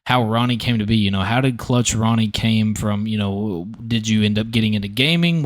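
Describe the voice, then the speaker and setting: Low-voiced man hosting a casual podcast. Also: no room sound, studio quality. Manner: hesitant, filler-heavy questions. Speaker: podcast host. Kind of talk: casual interview. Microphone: podcast call mic.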